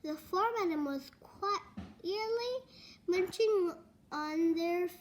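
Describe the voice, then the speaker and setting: Slow, very high-voiced female storybook reader. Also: slight background noise. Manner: halting reading with stumbles and repeated words. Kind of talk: read-aloud children's storybook narration.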